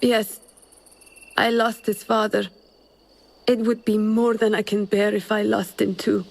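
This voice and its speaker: A woman's voice: mid-range voice, little pitch movement, unhurried, gravelly, poor audio. An actress playing a sorrowful, courteous widowed mother in a cinematic video game. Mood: grieving.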